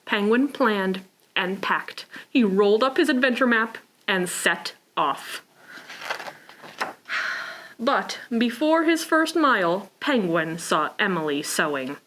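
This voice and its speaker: A woman's voice: mid-range voice, lively intonation, slow, a bit bright. A woman hosting a preschool storytime, reading aloud and talking simply and encouragingly to small children. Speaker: library preschool storytime host.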